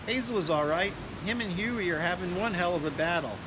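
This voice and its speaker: A man's voice: sits high, brisk, pitched above the performer's own voice, close to an everyday voice. A man voicing a folksy, wily, friendly working-class character from a novel, talking in loose, cajoling colloquial speech. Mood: chatty, sly. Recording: noisy outdoor ambience with vehicles.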